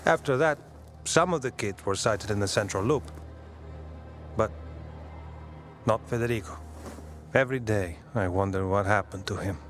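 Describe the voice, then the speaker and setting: Actor as an earnest, curious young son. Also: male voice, fairly low pitch, moderate pace, long pauses, close to an everyday voice. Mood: sombre, earnest. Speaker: video game character. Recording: game music behind the voice.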